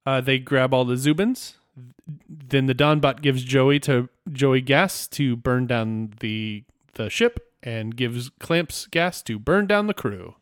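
A man's voice: mid-range voice, slow pace, no echo, studio quality. A man co-hosting a podcast, talking conversationally and casually as he goes over an episode. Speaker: podcast co-host. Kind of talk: casual episode recap chat.

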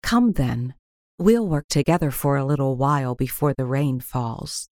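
A gracious lady of the castle voiced by a woman reader from a storybook. She speaks sweetly and formally, welcoming and encouraging, at an unhurried pace. The sound is dry and close. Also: a bass voice, darkish tone, dry recording.

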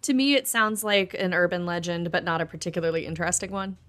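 A woman co-hosting a podcast in casual chat, with a wry and animated way of talking. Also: fairly low pitch, fast talker. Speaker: podcast co-host.

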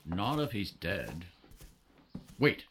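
Man playing a slow, animated devout father. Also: deep voice, slight background noise, exaggerated voice. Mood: hushed, anxious. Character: excitable devout father, fervently thankful. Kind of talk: audio drama acting.

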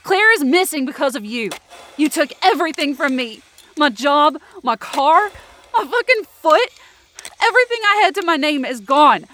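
A woman's voice: high-pitched, expressive, normal speed, pushed and bright. A young actress playing a bitter, foul-mouthed working-class woman from the mountains in an audio drama, confrontational and sarcastic. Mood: bitter, accusing. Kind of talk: heated audio drama dialogue.

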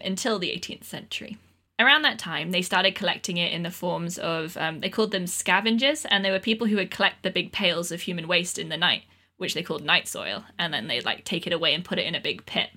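Bright-voiced woman chatting casually. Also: lowish voice, brisk. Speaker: podcast panelist. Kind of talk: casual comedic podcast chat.

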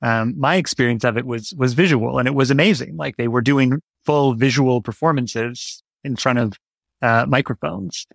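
A man's voice: medium pitch, moderate pace, creaky, no room sound, clean studio sound. A man talking as a guest in a casual interview, reflective and enthusiastic about his work. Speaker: guest, audio drama writer.

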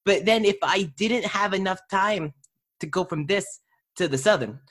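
A woman from the United States, an actor interviewing a friend casually, telling stories in an animated way with bits of character voice. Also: mid-range voice, moderate pace.

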